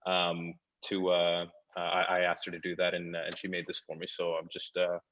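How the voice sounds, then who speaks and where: A man's voice: sits low, completely flat delivery, normal speed, fairly bright tone, muffled recording. A man who grew up in Saudi Arabia, an actor talking casually on a panel in a joking, earnest way with lots of fillers. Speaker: actor on the show.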